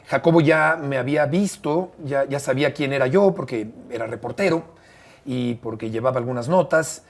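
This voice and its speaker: A Mexican man in his fifties with a Mexican accent, a sports commentator telling stories in an interview, expressive and emphatic with frequent fillers. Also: mid-range voice, normal speed, gravelly. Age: 50s. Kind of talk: conversational interview storytelling.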